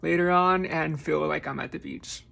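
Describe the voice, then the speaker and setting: A man chatting casually while doing his makeup, rambling through his thoughts with a touch of vocal fry. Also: fairly high pitch, fairly even intonation, moderate pace, sharp tone, slight background noise. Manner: rambling, musing train of thought. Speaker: makeup vlogger. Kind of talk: casual chat during a makeup tutorial.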